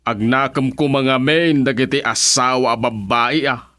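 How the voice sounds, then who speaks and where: An actor with an Ilocano accent playing a flustered husband who stammers out whiny excuses in a comedic radio drama. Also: male voice, mid-range voice, steady tone, measured pace, bright, forceful tone. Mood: pleading excuses. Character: flustered, stammering husband defending himself before the chairman.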